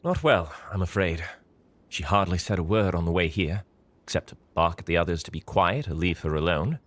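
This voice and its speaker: An actor playing a coolly pragmatic government man in an audio drama, measured and formal. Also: low voice, a bit bright, dry recording. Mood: cool, matter-of-fact.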